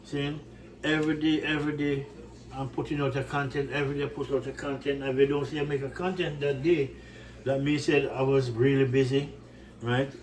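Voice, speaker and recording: A man's voice: mid-range voice, level tone, fairly quick, distant mic, a little hiss or hum, poor audio. A man from Jamaica with a Jamaican accent, chatting casually to his cooking channel viewers in his home kitchen, rambling and asking "right?" a lot. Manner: warm, repetitive, rambling, often says "right?".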